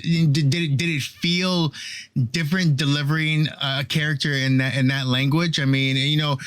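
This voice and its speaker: A man's voice: a little high, fairly bright tone. A man hosting an interview, asking long, rambling questions full of restarts and fillers. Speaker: interviewer host.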